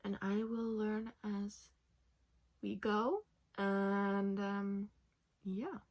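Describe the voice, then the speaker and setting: Young woman from norway, second-language english, slow unhurried pace, chatty with lots of fillers, sitting in bed. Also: mid-range voice, fairly even intonation, a little mellow. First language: Norwegian. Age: 20s. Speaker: knitting podcast host. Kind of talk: casual chat. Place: in her bed at home.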